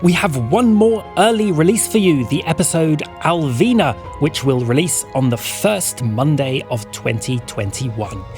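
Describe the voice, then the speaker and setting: A man's voice: on the high side, lively intonation, unhurried, hardly any pauses, darkish tone. A man presenting a podcast, reading scripted announcements and credits. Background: music.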